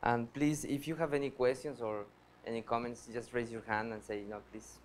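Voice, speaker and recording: A man's voice: mid-range voice, steady tone, normal speed, a little hiss or hum. Hesitant man giving a workshop talk. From Ecuador. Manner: hesitant, many fillers and restarts, earnest. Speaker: PhD student presenter. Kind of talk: workshop presentation. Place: lecture hall.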